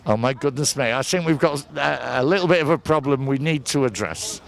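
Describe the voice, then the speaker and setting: A man's voice: mid-range voice, brisk, vocal fry. A man with a Northern English accent doing jokey, bantering street interviews as a host at an outdoor market. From northern England.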